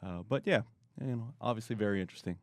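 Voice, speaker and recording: A middle-aged man answering interview questions in a relaxed, rambling way, often pausing with fillers. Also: fairly low pitch, no echo, studio quality. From born in Korea, raised in the US. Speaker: guest, marketing expert.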